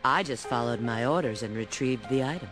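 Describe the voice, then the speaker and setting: A woman voicing a sly, flirtatious bat spy in a video game, with a low, sultry, teasing delivery. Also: a little fast. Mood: breezy. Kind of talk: video game cutscene acting. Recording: music under the voice.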